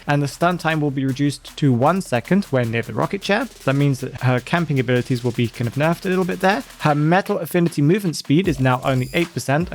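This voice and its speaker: A man hosting a casual weekly game-update vlog, sounding nasal and congested from hay fever, chatty and thinking aloud as he corrects himself. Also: mid-range voice. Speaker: gaming channel host.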